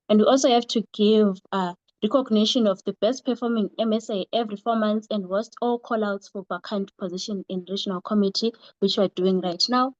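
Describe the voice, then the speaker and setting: A woman's voice: mid-range voice, darkish tone, dry recording, lo-fi sound. A young woman with a Zimbabwean accent giving a formal, point-by-point presentation as a student coordinator on an online call. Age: young adult. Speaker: regional coordinator of a student association. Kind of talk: prepared presentation on an online meeting. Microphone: online call microphone.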